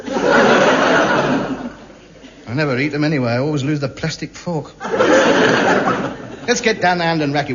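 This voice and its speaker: A man's voice: on the high side, natural voice. An actor playing a blustering, self-pitying, penny-pinching man in a 1950s radio sitcom, flustered and stammering. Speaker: lead comic character. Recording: muffled hissy 1950s radio broadcast.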